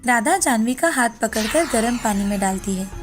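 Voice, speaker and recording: A woman's voice: fairly high pitch, lively intonation, moderate pace. A woman narrator reading a scripted moral fairy tale as storytelling. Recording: background music under the voice.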